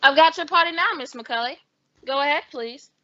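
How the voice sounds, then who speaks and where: An actress playing a gossipy, harried small-town telephone operator, chatty and brisk, in old-time radio style. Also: female voice, high voice, bright, forceful tone, dull audio. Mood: harried, businesslike.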